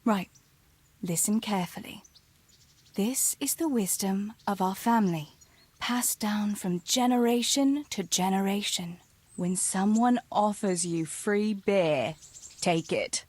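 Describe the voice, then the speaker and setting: Soft, husky young medieval sweetheart. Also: female voice, medium pitch, slow, no room sound. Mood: playful, teasing. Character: tender, teasing young medieval village sweetheart, anxious about loss. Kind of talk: naturalistic video game dialogue acting.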